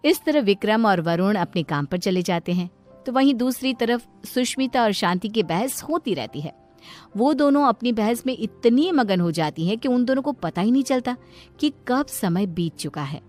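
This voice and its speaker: A woman's voice: a little high, animated, normal speed, darkish tone, faint music behind. A woman delivering scripted narration of a cartoon story as its narrator.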